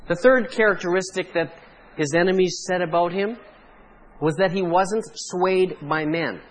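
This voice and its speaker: A Canadian man, a pastor, preaching a sermon in a measured way, pausing for emphasis. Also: high voice.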